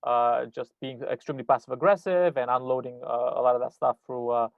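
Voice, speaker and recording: Fast-talking man on a thin, phone-like line. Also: mid-range voice, fairly even intonation, gravelly, dry recording. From Romania. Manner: rambling, heavy fillers, swears. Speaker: podcast host. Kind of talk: casual chat. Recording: dry, phone-like thin sound.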